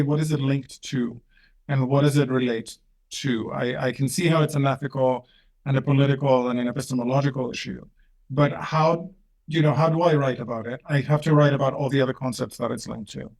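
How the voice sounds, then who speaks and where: A man from Greece, a professor and author, answering interview questions thoughtfully with frequent pauses and restarts. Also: medium pitch, a little mellow. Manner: thoughtful, hesitant, many fillers and restarts. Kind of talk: interview conversation.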